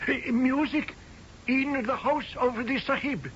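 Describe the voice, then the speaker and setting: A very high-voiced Indian houseboy in a radio drama, speaking slowly with an Indian accent. He is solemn, deferential and quietly scornful, with ornate phrasing, on a dull old radio recording with music behind. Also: steady tone, a little hiss or hum, exaggerated voice. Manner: ornate, formal, portentous phrasing. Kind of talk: radio drama acting and narrating in character.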